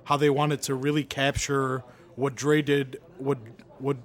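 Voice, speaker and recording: A man's voice: medium pitch, level tone, a little slow. A man who is a guest on a casual podcast and talks at length while hedging his claims, recorded in a hookah bar restaurant. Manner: talkative, hedges with if I'm not mistaken. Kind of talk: casual chat. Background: chatter.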